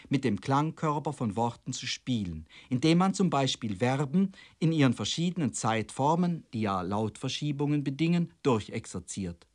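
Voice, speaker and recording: Guy with reading out a formal radio lecture, mid pitched voice, measured and precise. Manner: formal, measured, precisely phrased in long sentences. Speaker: radio drama commentator and lecturer. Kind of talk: scripted radio lecture, read aloud.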